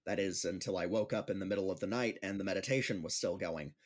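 Anxious, earnest gym teacher. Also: male voice, deep voice, fast talker. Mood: nervous comic routine. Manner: hesitant, earnest, self-deprecating. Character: anxious, kindly, insecure gym teacher doing amateur stand-up. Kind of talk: scripted audio drama acting.

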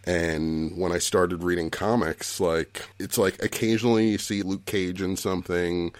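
Man in his 40s, really low voice, laughs a lot while he talks, dry close mic. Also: steady tone, normal speed, no room sound. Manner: laughs often, thinks aloud with many restarts. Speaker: podcast co-host. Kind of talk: casual chat.

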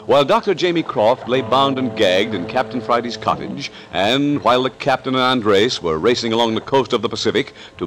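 A man narrating a suspense serial as announcer, with a dramatic, deliberate 1940s radio delivery. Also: medium pitch, a bit bright, natural voice.

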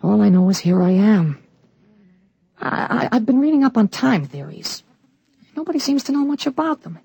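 A man playing a teenage boy with an adult's memories, explaining things calmly and matter-of-factly in a 1940s radio drama. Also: high voice, moderate pace, vocal fry, husky, no room sound, full character voice. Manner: calm, matter-of-fact explaining. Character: teenage boy with an adult mind, calm and knowing.

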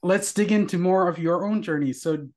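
A man from India with an Indian English accent, hosting a casual interview podcast in a relaxed, friendly way. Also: high-pitched, a little fast. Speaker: podcast host.

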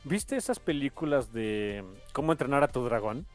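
A man's voice: medium pitch, sing-song, normal speed, faint music behind. A man with a Mexican accent hosting a podcast, chatting casually in an enthusiastic, rambling way. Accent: Mexican Spanish. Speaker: podcast host.

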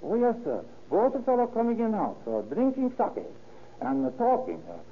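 A man's voice: very high-pitched, huge pitch swings, normal speed, full character voice. An actor playing a polite, nervous Japanese immigrant man, speaking hesitant broken English with a Japanese accent in an old radio drama. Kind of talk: old-time radio acting. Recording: noisy, tinny old radio recording.

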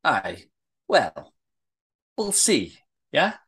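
A woman's voice: very deep voice, wildly swooping pitch, extremely slow, fairly bright tone, slight background noise, full character voice. Woman acting a blunt, feisty Scottish woman. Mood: guarded. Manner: blunt, scolding, colloquial. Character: feisty, indignant Scottish woman rebuffing a suitor. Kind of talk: amateur script read-through, acted dialogue.

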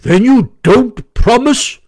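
A man's voice: extremely high pitch, lively intonation, slow pace, a little mellow, breathy edge, no echo, clean studio sound, pitched above the performer's own voice, heavily acted. A man voicing a stern, fatherly storybook badger with a solemn, firm, speechifying manner. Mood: scandalized.

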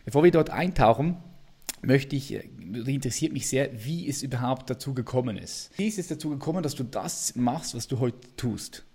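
A man with a mid-pitched voice hosts a podcast. He talks informally and with hyped enthusiasm, in a casual presenting style. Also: lively intonation, normal speed, darkish tone, husky. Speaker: podcast host. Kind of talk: casual podcast introduction and interview.

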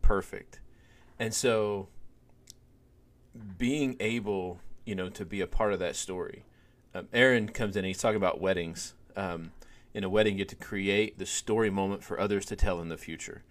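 A middle-aged man and podcast host, telling stories in a relaxed conversational way, with lots of fillers and animated swings in emphasis. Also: deep voice, normal speed. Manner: animated storyteller, many fillers.

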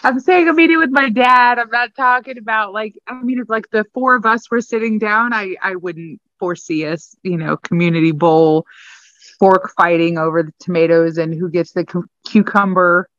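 A woman co-hosting a podcast in casual chat, animated and emphatic, joking around. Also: mid-range voice, moderate pace. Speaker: podcast co-host. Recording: dull, dry close mic.

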